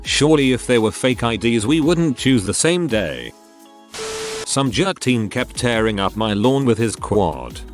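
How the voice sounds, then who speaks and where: A man narrating online stories, reading each post aloud in a scripted, expressive way. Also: medium pitch, a little slow, over music.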